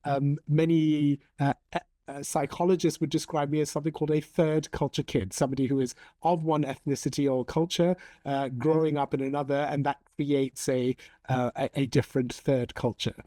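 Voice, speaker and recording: A man in his forties, raised in Hong Kong, speaking as an interview guest in a measured, thoughtful way with frequent ums. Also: fairly high pitch, steady tone, warm tone, dry recording. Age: 40s. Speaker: interview guest, storytelling coach. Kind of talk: conversational interview.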